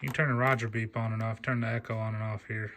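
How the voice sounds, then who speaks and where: Man in casual demo, clearly noisy recording. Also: fairly low pitch, little pitch movement, fast, a bit bright. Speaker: seller demonstrating used radio gear. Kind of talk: casual product demonstration.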